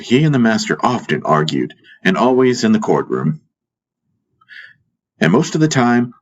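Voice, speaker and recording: A man narrating a fantasy audiobook in the first person, solemn and measured, with pauses between phrases. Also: deep voice, muffled recording. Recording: music behind the voice. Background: music.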